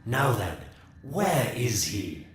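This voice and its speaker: An actor playing a smug, scheming profiteer in a video game, talking in a sly, mocking, theatrical drawl with teasing pauses. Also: male voice, lowish voice, sing-song, heavily acted. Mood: smug, wheedling. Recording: roomy, distant, noisy game capture with ambience.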